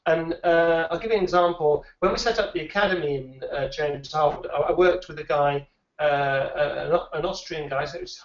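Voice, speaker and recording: A man who trains recruiters answers interview questions in a thoughtful way, often repeating words as he thinks. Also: fairly high pitch. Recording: dull, roomy, a little distant.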